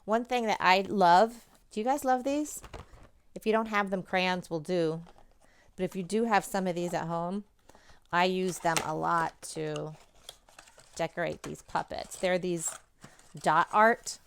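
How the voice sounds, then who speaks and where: A woman's voice: lowish voice, steady tone, a little slow, smooth. A woman talking casually and encouragingly to children, giving chatty craft instructions as a presenter. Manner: encouraging, chatty, addressing young viewers directly. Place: indoors at home, at a table.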